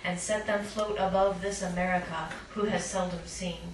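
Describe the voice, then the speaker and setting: A woman reads poetry aloud at a live event, informal and a little self-conscious. Her voice sits on the low side, caught at a distance in an echoey room with clear background noise. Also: fairly even intonation, moderate pace. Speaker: poet, featured reader. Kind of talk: live poetry reading with casual asides. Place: live poetry night at a cafe.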